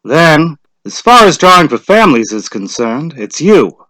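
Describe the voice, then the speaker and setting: A man voicing a brisk, formal village official who directs a ceremony in a dramatic story reading. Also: on the high side, sing-song, bright, forceful tone, no echo, pitched above the performer's own voice, poor audio.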